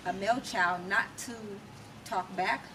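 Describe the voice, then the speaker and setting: A woman's voice: mid-range voice, a little slow. A middle-aged American woman answering as an interview guest, explaining her views conversationally and emphatically, checking that she is understood. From United States. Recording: distant mic, loud ambient noise.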